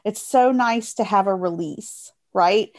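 A woman's voice: mid-range voice, measured pace. An American woman talking informally and earnestly in a casual interview, often repeating phrases for emphasis.

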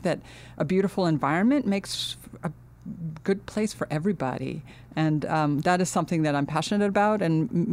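A middle-aged woman from Finland, a guest in an interview, speaking earnestly and thoughtfully with many pauses and restarts. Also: lowish voice, darkish tone. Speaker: guest, architecture dean. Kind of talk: casual interview talk.

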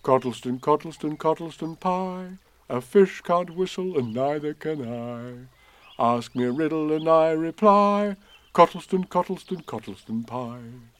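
A man's voice: fairly high pitch, animated, measured pace, few pauses, darkish tone, pitched above the performer's own voice. A man voicing a friendly, simple-minded little bear from a children's storybook, with an innocent, earnest, singsong delivery. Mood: cheerful singsong.

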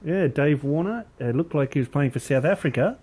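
An Australian man with a fairly high voice hosts a casual cricket podcast over a call. He teases his co-hosts, rattles through lists of names and fake sponsors, and keeps the tone playful. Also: animated, a little fast, darkish tone, husky. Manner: jokey, rattles off lists and mock introductions. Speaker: podcast host. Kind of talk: casual banter with mates. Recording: online call audio.

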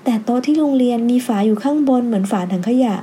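A woman voicing an excitable, chatty, innocent little schoolgirl in a storybook, with an eager, polite, childlike way of talking. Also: fairly high pitch, fast, a little hiss or hum, close to an everyday voice. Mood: excited.

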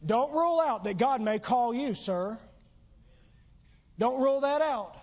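Hoarse high-voiced man preaching. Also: lively intonation, unhurried, a little mellow. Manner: earnest preaching, rising emphatic exhortations. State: losing his voice. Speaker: pastor. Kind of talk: sermon. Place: church sanctuary. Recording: dull lo-fi church recording.

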